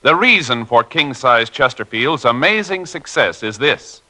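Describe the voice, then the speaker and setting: A man's voice: medium pitch, expressive, a little slow, bright, forceful tone. A man reading radio commercials from the 1950s with emphatic, punchy salesmanship. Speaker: commercial announcer.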